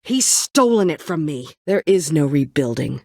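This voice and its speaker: A woman's voice: low voice, very animated, normal speed, gravelly, warm tone, no echo, studio quality, exaggerated voice. An actress playing a bitter, world-weary woman survivor set on vengeance in a story video game, brooding, curt and dryly sardonic. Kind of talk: dramatic video game voice acting.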